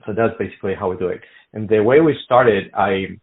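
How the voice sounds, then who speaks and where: A middle-aged man from Colombia, a business guest in a casual interview, speaking haltingly with many fillers and restarts. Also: fairly low pitch, breathy edge. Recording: phone-like narrow sound.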